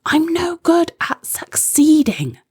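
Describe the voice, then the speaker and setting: A woman voicing a young girl inventor who is excitable, dramatic and quick to get frustrated. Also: high voice, no room sound, voice pushed up, exaggerated voice. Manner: exclaiming, sighing, dramatic.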